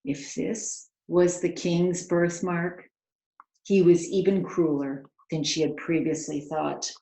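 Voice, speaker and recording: Slow, creaky-voiced woman reading a storybook. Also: on the low side, steady tone, mellow. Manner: dramatic, earnest storytelling. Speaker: children's author reading aloud. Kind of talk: expressive storybook read-aloud narration. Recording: roomy, echoing home recording.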